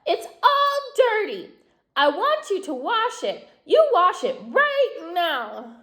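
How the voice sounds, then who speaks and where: A spoiled little doll barks orders in a shrill, squealing voice that leaps high and swings wildly, with a woman acting it out. She sounds bossy and demanding. The room around her rings with echo. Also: sing-song, takes it slowly, a bit bright, full character voice. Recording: echoey room.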